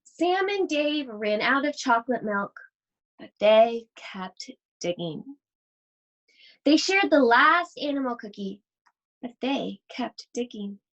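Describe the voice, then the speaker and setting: A woman teacher reading a picture book aloud to children, expressive and speaking directly to her young listeners. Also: on the high side, slow pace, poor audio. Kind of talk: children's read-aloud with chatty asides.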